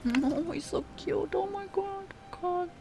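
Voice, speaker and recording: A woman's voice: very high voice, slow pace. A woman giving casual commentary while playing a game, swearing lightly and reacting openly. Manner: swears casually, reacts with sighs and laughs, softly emotional. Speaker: gaming commentator. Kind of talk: casual let's-play commentary. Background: game music.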